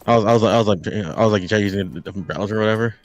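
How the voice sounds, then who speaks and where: A man's voice: lowish voice, rapid-fire, dull audio. A man chatting casually as a guest commentator, stammering and often repeating his words. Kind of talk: casual reaction chat.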